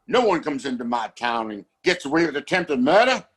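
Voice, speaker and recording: Male actor as domineering Western patriarch for audio play: self-righteous, formal, very animated, husky. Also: on the high side, brisk, heavily acted. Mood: stern, scornful. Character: pompous, domineering father who runs a Western town. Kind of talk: Western audio drama acting.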